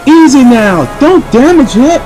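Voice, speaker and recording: A sailor played by a man with a high voice, calling out forcefully in an amateur audio drama. He sounds off the mic, with rain, thunder and music behind him. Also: very animated, measured pace, exaggerated voice, poor audio. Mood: urgent. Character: stranded male sailor calling over a storm.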